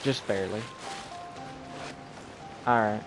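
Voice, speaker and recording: A man's voice: lowish voice, takes it slowly, music in the background. A man giving casual live commentary as he streams a video game.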